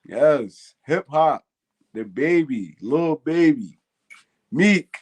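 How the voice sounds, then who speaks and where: A man's voice: a little high, lively intonation, drawn-out words. A man, co-hosting a fan call-in show in casual, slangy chat and laughing often. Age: 20s.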